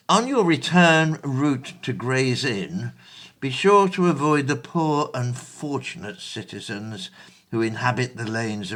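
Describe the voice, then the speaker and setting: An actor playing a benevolent, earnest 1820s gentleman in a period audio play, with formal, courteous old-fashioned diction. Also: mid-range voice, full character voice.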